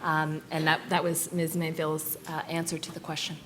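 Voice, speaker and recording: A woman's voice: low-pitched, completely flat delivery, moderate pace, a little hiss or hum. A woman from a review team answering press conference questions, hesitant and full of fillers.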